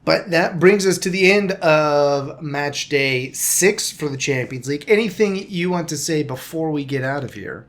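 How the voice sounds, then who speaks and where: A man's voice: on the high side, animated, a little slow, hardly any pauses. A man hosting a casual soccer podcast, rambling with frequent restarts and self-corrections. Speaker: podcast host. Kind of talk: casual sports podcast chat.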